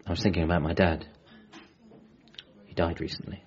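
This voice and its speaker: A man's voice: deep voice, level tone, normal speed, muffled recording, natural voice. An actor playing an earnest, bereaved man, hesitant and apologetic, in a radio comedy drama. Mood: awkward, sad. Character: bereaved, awkward, earnest adult son working as a nurse.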